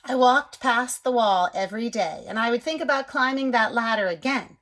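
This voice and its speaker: A woman voicing a timid but hopeful young male egg in a storybook, speaking softly and dropping to a whisper at scared moments. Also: a little high, normal speed, natural voice, rough recording. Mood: wistful.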